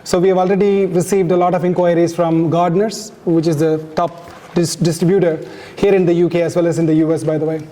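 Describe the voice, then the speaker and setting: A man whose first language is Tamil, giving an informal, halting speech as the author at a book launch, with many restarts and fillers. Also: on the high side, flat delivery, mellow.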